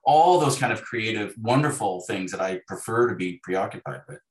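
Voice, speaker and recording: A man in his fifties speaking as an author being interviewed, in a thoughtful, halting way with repeated words. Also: lowish voice, expressive. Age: 50s. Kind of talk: reflective conversational interview. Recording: echoey, roomy sound.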